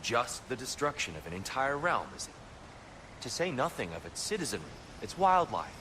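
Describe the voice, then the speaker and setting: A man's voice: mid-range voice, sing-song, moderate pace, background noise, natural voice. A man playing an articulate, reproachful companion in a video game, with a formal, wordy and wry manner of speaking. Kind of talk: dramatic video game acting.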